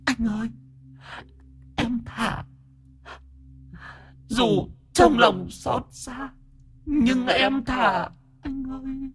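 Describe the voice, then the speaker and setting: A man's voice: very high-pitched, fairly even intonation, very slow, pauses often, husky, voice pushed up, full character voice. A man voicing a melodramatic shadow ghost that calls itself a woman, sobbing and singing its pleas like a folk-opera lament. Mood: whimpering plea.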